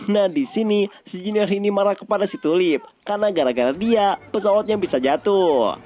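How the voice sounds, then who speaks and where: A man's voice: sits high, a little fast, a little mellow. A man with an Indonesian accent narrating a story recap in an informal, scripted style.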